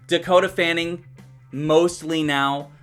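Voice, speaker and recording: A man with a slightly high-pitched voice talks through a film review in a casual, conversational style. He is blunt and swears loosely as he gives his opinions, with faint music playing behind him. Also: steady tone, slow pace, fairly bright tone, smooth. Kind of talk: casual movie review commentary.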